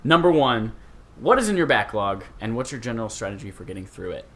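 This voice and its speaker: A man's voice: fairly low pitch, sing-song, quick pace. A man hosting a podcast and chatting casually about video games. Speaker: podcast host. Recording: light background noise.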